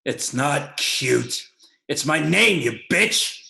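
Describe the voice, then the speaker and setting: A snarling biker brawler with a short fuse, voiced by a man, gruff and hostile, his tone bright and forceful. It rings in a roomy, echoey space. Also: on the high side, lively intonation, heavily acted. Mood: angry, boastful. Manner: gruff, hostile, quick to anger. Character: Rusty, a hot-tempered male biker brawler, young adult. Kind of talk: livestream play script reading.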